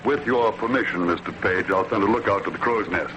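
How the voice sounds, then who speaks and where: An American actor playing a gruff, formal older navy admiral with a commanding manner in an old-time radio drama. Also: male voice, on the low side, fairly quick, natural voice. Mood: skeptical. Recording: muffled, noisy old radio recording.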